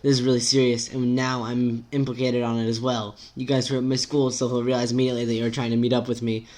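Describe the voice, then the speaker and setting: A man's voice: medium pitch, little pitch movement, fast talker, darkish tone, natural voice. Guy acting as a stressed out teenage boy, fretting and exasperated, normal mid voice. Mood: worried, exasperated.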